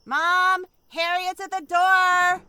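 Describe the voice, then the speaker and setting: A woman's voice: very high-pitched, flat delivery, slow, recorded from a distance, background noise. A woman voicing a disobedient teenage girl who talks in a flip, sarcastic way. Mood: yelling.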